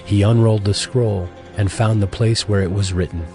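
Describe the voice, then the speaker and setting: A man narrating scripture from a script in a measured, formal manner. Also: lowish voice, fairly even intonation, warm tone, soft background music.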